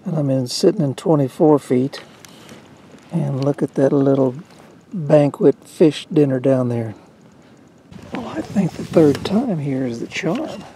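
A woman's voice: deep voice, expressive, measured pace, warm tone, slight background noise. A woman casually commenting on her fishing from a small boat on a lake, chatting folksily to the fish she catches, recorded on a boat-mounted camera. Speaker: fishing vlogger.

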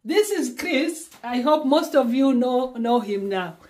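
A woman's voice: high voice, lively intonation, slow pace. Kenyan-born woman vlogging from home. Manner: warm, pleading, repeats words often. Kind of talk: casual vlog chat to camera. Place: small home, indoors. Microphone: phone camera held at arm's length.

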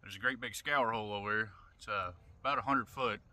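A man's voice: fairly low pitch, brisk, pushed and bright. A man in his thirties with an American accent, talking casually and folksily in clipped phrases as a fishing vlogger. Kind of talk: casual outdoor fishing vlog. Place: open boat on a wide river, outdoors. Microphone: camera at arm's length or across the boat. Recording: outdoor wind and water noise, often distant.

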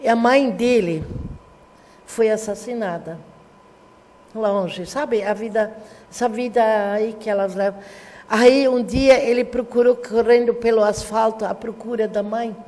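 Older woman telling stories, lively hands. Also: on the high side, unhurried, long reverb tail. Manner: warm storytelling, animated hand gestures. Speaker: teacher and historian, interview guest. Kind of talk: reminiscing interview talk. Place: small TV studio.

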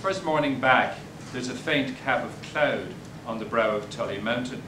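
An older man giving a poetry reading in a bookstore, hesitant and halting between poems, recorded from a distance. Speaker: poet. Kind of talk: poetry reading with spoken asides. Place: bookstore, indoors, at a podium. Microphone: distant camera mic. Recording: echoey room, distant mic, background rumble.

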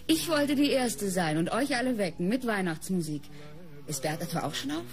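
A woman playing the brisk, chatty lady of the house in a radio crime play. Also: mid-range voice, expressive, natural voice. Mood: brisk, slightly irritable. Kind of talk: German radio crime drama acting.

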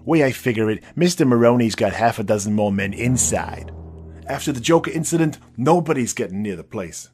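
A man's voice: mid-range voice, expressive, fairly quick, a little mellow, soft background music, lightly acted. A man playing a blunt, streetwise gangster henchman, griping in a comic crime drama. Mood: grumbling. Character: blunt, grumbling male mob henchman.

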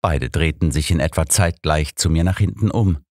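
A man's voice: extremely low pitch, normal speed, darkish tone, no room sound, studio quality. A man narrating a story in the first person as a scripted audiobook reading.

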